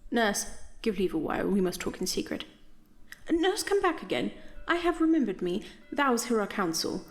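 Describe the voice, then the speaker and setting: A woman's voice: mid-range voice, big pitch swings, moderate pace, soft background music. A woman playing a formal, commanding noblewoman and mother in a Shakespearean audio play.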